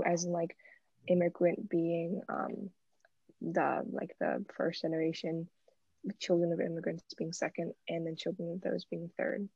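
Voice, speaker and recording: A woman's voice: fairly low pitch, creaky, mellow, slightly rough, no echo, lo-fi sound. A young woman talking casually in a group discussion among students.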